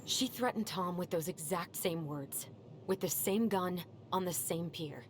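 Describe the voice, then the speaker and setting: A woman's voice: lowish voice, a little slow, noisy background, lightly acted. An actress playing a troubled, intense young woman in a video game, speaking with earnest emotional weight. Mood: pleading, insistent. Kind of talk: naturalistic video game cutscene acting.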